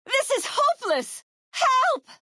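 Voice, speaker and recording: A woman's voice: extremely high pitch, sing-song, takes it slowly, pushed and bright, dry recording, full character voice. A woman playing a foul-mouthed, sardonic ex-sheriff turned caravan guard in a video game, blunt and folksy in her speech. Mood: alarmed, shouting. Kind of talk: video game character voice lines.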